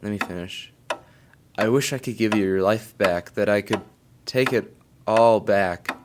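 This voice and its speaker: A man's voice: lowish voice, measured pace, close to an everyday voice. An actor playing a miserable, remorseful young man in an audio drama, earnest and subdued. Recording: clear background noise.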